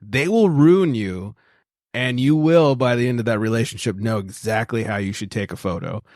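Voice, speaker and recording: A man's voice: medium pitch, moderate pace, darkish tone, no echo. Chatty man, dry close sound. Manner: chatty, laughs often, acts out quoted voices. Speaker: guest podcaster. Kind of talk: casual chat.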